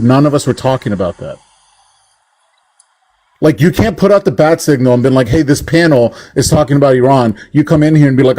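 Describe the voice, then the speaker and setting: A man's voice: medium pitch, dark tone. A man on a debate panel, arguing his point at length, profane and heated. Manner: argumentative and profane, rants at length.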